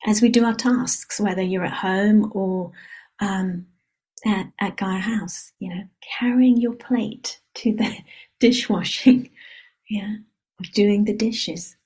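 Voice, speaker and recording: Soft breathy woman leading meditation. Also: medium pitch, fairly even intonation. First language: Hebrew. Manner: soft, unhurried, often adds a questioning "yeah?". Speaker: meditation teacher. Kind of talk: guided dharma reflection. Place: meditation retreat hall.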